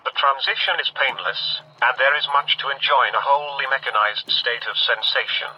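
Husky, filtered disembodied brain in a machine. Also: male voice, mid-range voice. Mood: serene, persuasive. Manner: courteous, measured, persuasive. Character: serene disembodied human brain speaking through a machine. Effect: electronic voice machine filter. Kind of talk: radio drama acting. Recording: dull radio-like electronic sound.

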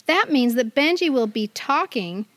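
High-voiced middle-aged American woman, dry recording. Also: expressive, unhurried, dry recording. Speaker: podcast co-host. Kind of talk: casual chat with dramatic reading of magazine items.

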